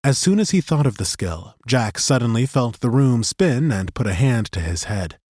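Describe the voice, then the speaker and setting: A man narrating a fantasy audiobook in an expressive, scripted storytelling style. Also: mid-range voice, normal speed, dry recording, studio quality. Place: dry recording studio.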